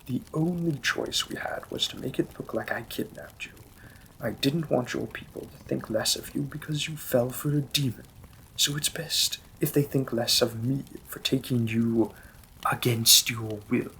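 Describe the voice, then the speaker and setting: A man's voice: mid-range voice, vocal fry, a little hiss or hum, low-quality recording. A man voicing a devoted demon king in a romantic fantasy roleplay, speaking intimately and formally with teasing, possessive affection. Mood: tender, protective. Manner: slow, intimate, formal wording, gently amused.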